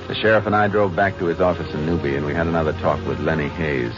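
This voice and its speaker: An actor playing a calm, matter-of-fact Texas Ranger who also narrates, in a 1950s radio drama. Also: male voice, a bass voice, fast. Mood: narrating. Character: steady, no-nonsense male Texas Ranger investigator. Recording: dull old radio broadcast with music.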